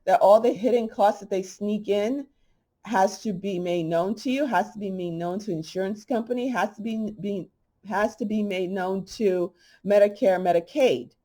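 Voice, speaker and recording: A middle-aged woman hosting a holistic health talk, speaking bluntly and emphatically, with a slightly hoarse voice. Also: mid-range voice, moderate pace, warm tone. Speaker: holistic health channel host. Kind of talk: casual monologue to camera.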